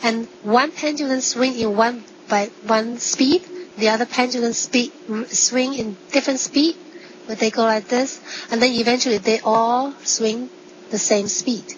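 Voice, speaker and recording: A woman physician explaining conversationally as a guest, with simple examples and short, halting, non-native phrasing. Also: fairly high pitch, fairly even intonation. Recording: dull sound, faint background noise.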